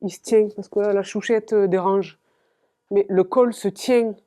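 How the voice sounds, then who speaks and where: A woman's voice: medium pitch, steady tone, a little slow, dark tone. A woman vlogging playfully to camera about coats she bought, theatrical and giggly as she talks straight to her viewers. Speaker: fashion vlogger.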